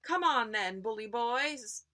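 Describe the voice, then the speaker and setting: A woman's voice: fairly high pitch, lively intonation, slow, sharp tone, pitched above the performer's own voice, full character voice. A woman voicing a huge, bold male goat who taunts his opponent. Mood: taunting.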